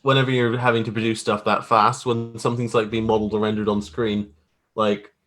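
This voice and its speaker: A man's voice: on the low side, little pitch movement, a little fast, a bit bright. A man chatting casually as an interview guest, informal, wry and self-deprecating about his own work as a graphic artist.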